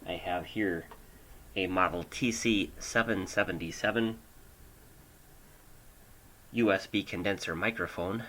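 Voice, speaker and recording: An American man hosting an amateur radio show, narrating in deliberate, drawn-out phrases with pauses, recorded at home on a hobbyist microphone. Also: low voice, fairly bright tone, a little hiss or hum. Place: home studio. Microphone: older hobbyist microphone.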